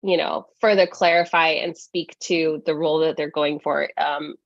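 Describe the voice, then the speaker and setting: Woman, congested, lowish voice, measured explanation, many fillers, dull dry audio. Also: steady tone, vocal fry, no echo. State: congested from a cold. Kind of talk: explaining procedure at a meeting.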